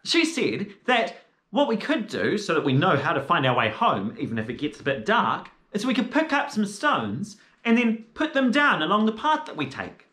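A man's voice: high-pitched, pushed and bright, full character voice. A young man playing an eager, naive, easily spooked little boy in a children's fairy tale, with a theatrical, wide-eyed manner. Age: young adult. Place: living room. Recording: echoey indoor room.

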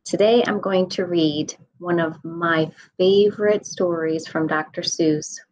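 A woman in her thirties reads a children's story aloud in an expressive, deliberate sing-song, indoors on a webcam microphone. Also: lowish voice. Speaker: school staff nutritional specialist reading a children's storybook. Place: indoor room at home. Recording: dull lo-fi webcam sound.

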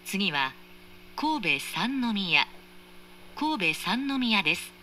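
Lilting, slow woman train announcer. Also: mid-range voice, very animated, pushed and bright. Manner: polite, formal. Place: train carriage speaker, train ambience behind. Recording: faint train noise, recorded inside carriage.

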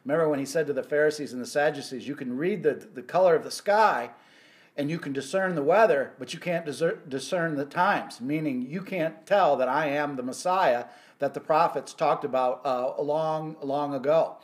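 Earnest middle-aged man preaching prophecy. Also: a little high. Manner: earnest, halting, many "uh" restarts. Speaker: ministry host. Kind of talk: weekly Bible prophecy teaching. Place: indoor office or home room.

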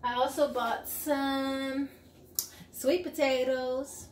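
A woman's voice: high voice, flat delivery, drawn-out words. A woman in her forties, chatting upbeat to her viewers in a casual grocery haul vlog, filmed at arm's length in her home kitchen. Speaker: vlogger. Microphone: camera at arm's length. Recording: echoey kitchen, faint room noise.

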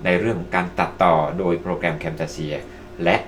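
A man's voice: low-pitched, fairly even intonation, normal speed, fairly bright tone. A man presenting casually to online viewers as a tutorial host, addressing them politely as friends. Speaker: presenter of video-making tutorials. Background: music.

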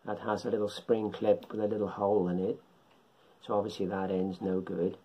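A middle-aged man with a husky voice gives an informal beginners' tutorial, explaining haltingly in short phrases at home. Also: fairly low pitch, little pitch movement, lo-fi sound. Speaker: hobbyist radio instructor. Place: home living room.

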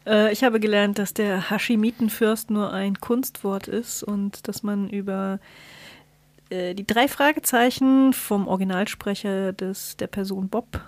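A woman talks casually as a podcast co-host. Her voice sits at an average pitch, in a conversational style, with a dry, close microphone sound. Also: steady tone, a little slow, dry recording.

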